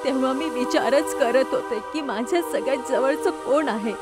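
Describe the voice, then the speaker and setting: A woman's voice: high-pitched, normal speed, over loud music, close to an everyday voice. Solemn old grandmother confessing, read by a woman. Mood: solemn regret.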